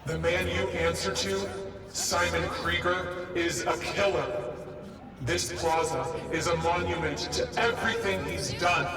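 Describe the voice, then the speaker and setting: A man's voice: high voice, steady tone, measured pace. A man playing a menacing, righteous masked rebel leader delivering a threat in a video game. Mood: grim, defiant. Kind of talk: video game villain acting. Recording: echoing broadcast, distant, music and crowd.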